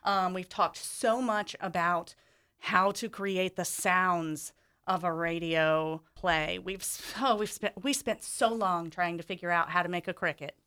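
A woman's voice: lowish voice, steady tone, unhurried, pushed and bright, clean tone. A woman speaking enthusiastically and emphatically as a guest director in a casual interview.

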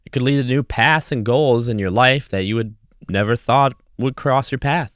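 A man's voice: medium pitch, moderate pace, no echo, clean studio sound. A man hosting a podcast, talking casually and earnestly in an encouraging, motivational way. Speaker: podcast host. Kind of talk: casual motivational solo talk.

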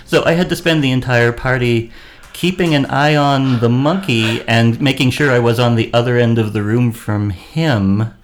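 A man's voice: medium pitch, fairly even intonation, moderate pace. A man, an actor guest-hosting a podcast, chatting casually and telling wry self-deprecating stories. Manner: tells wry anecdotes with self-deprecating humor. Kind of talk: casual chat.

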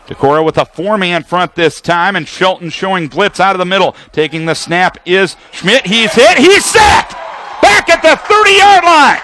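A man's voice: sits high, normal speed, some chatter behind, a little hiss or hum. A man calling live football play-by-play on the radio as the announcer, in clipped, descriptive phrases. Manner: clipped descriptive phrases, excited calls on big plays. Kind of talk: live sports commentary, play-by-play.

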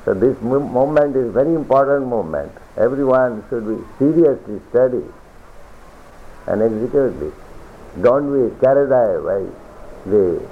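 A man giving a philosophical sermon as a spiritual teacher, lecturing deliberately, repeating phrases and reciting scripture. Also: medium pitch, lively intonation, a little hiss or hum. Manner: deliberate, repetitive lecturing with chanted scripture quotes. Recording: tinny lo-fi archival recording.

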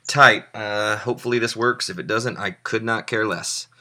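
A young man hosting a show with loud, joking banter and mock-showman flourishes. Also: fairly low pitch, normal speed. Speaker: podcast host. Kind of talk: comedic casual chat.

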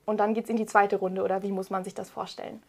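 A woman's voice: mid-range voice, little pitch movement, fast talker, darkish tone. A woman interviewer giving introductions and asking prepared questions.

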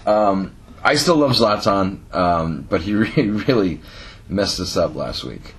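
A man's voice: deep voice, animated, slow. A man co-hosting a casual fantasy football podcast, playful and teasing, laughing easily. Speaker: podcast co-host.

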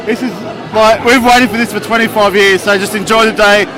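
An Australian man, a regular fan guest, talking outdoors in excited short bursts. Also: high voice, a little fast, rough recording. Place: outdoors at a crowded bar. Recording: loud crowd and music behind.

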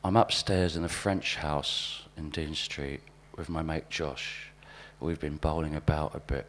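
A man with a very low, deep voice reads wry anecdotes live to a room. His delivery is deadpan, and the live recording carries a low hum and some noise. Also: a little slow. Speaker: spoken-word performer. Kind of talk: live reading of observational anecdotes. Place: live literary event venue.

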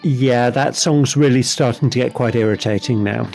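A man's voice: mid-range voice, moderate pace, vocal fry, a little mellow, soft background music. A man from England co-hosting a podcast, chatting in an easygoing way as an episode plays. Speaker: podcast co-host. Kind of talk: casual commentary while watching.

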